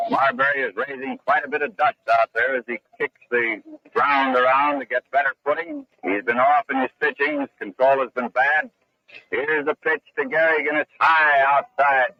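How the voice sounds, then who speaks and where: A man calling baseball play-by-play in 1930s radio style, with measured, clipped descriptions, on an old radio broadcast recording. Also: mid-range voice, no echo, dull audio. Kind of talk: 1930s radio sports commentary.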